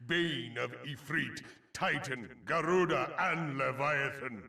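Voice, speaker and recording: Ancient forest god, fantasy game, stern, solemn, slow, bright forceful edge, archaic diction. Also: male voice, medium pitch, gravelly, full character voice. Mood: stern, accusing. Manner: solemn, grandiose, archaic speech. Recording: echoing, distant from the mic.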